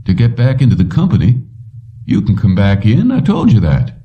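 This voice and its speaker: A man's voice: lowish voice, huge pitch swings, moderate pace, warm tone, pitched above the performer's own voice. A man voicing an older male company boss in a story, strained and wary, on a dull recording. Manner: tense, pressed delivery. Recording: muffled, distant-sounding dull recording.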